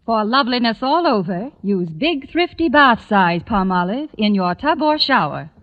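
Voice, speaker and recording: A woman's voice: a little high, big pitch swings, normal speed. A woman as an advertisement spokeswoman, giving scripted instructions in a 1940s radio commercial sales style. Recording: dull, reverberant old 1940s radio broadcast.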